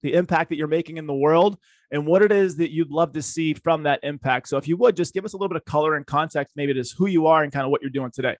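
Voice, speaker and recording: A man's voice: on the high side, fast, no echo. A man hosting a podcast interview who asks long, rambling questions in an encouraging way. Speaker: podcast host. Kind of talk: casual interview.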